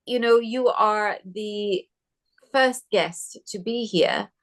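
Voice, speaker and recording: A woman hosts an interview and puts her questions at an unhurried pace. She speaks politely and in a measured way. Small hesitant fillers break up her phrasing. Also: mid-range voice, fairly bright tone. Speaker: interview host. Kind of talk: interview hosting.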